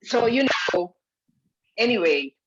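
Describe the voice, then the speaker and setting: A woman's voice: lowish voice, expressive, slow pace, a little hiss or hum. A middle-aged woman with a Filipino accent leading a casual group discussion in Taglish, emphatic and repeating her phrases. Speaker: organizer leading an online rehearsal. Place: video call. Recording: dull, lo-fi video call audio.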